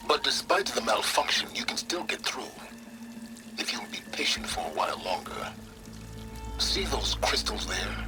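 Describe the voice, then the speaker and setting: An actor playing a condescending, impatient, scheming middle-aged researcher in a video game, with a measured, sardonic delivery. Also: male voice, mid-range voice, poor audio. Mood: dry, instructive. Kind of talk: dramatic video game dialogue.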